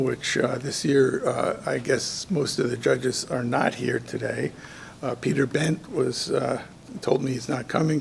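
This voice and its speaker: Hoarse man, very gravelly voice, halting speech full of ums, faint background hiss. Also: mid-range voice. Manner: halting, with many ums and restarts. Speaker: contest organizer giving welcome remarks. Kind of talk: informal ceremony speech. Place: community event hall.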